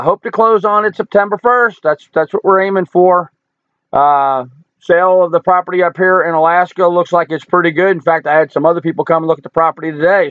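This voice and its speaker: An older man with a hoarse voice, greeting viewers one by one and chatting casually on his live stream. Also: on the high side, no echo, narrow, tinny audio. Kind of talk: casual live chat reading viewer comments. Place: home in rural Alaska.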